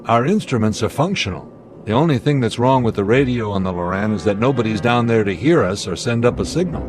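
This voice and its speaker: An actor playing a steady, authoritative airline captain in a radio drama, reasoning deliberately and giving commands. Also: male voice, mid-range voice, slight background noise, natural voice. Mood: grim, determined.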